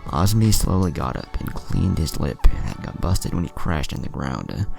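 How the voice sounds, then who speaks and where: Very deep, gravelly male story narrator. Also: very animated, moderate pace, music in the background. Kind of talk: narrating anime fan fiction.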